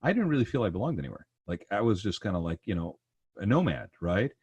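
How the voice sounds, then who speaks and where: Low-voiced rambling male podcast host. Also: sing-song, fast talker, darkish tone, slightly rough, dry recording. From Persian Gulf region. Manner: rambling, repeats words, tags thoughts with "right?" and "you know". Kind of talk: casual conversational interview.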